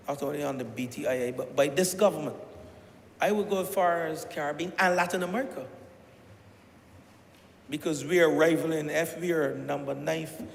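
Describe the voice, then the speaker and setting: A man with a strong Barbadian accent giving a speech in parliament, emphatic, surging loud on key phrases and restarting words as he goes. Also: on the high side, gravelly. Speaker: member of parliament. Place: parliament chamber. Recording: echoey chamber with long reverb, faint hiss.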